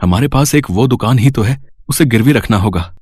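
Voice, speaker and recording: Man playing a husky, worried father. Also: medium pitch, lively intonation, moderate pace, darkish tone, no echo. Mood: worried, resolute. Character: worried, devoted father of a blind daughter. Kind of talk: dramatized moral storytelling.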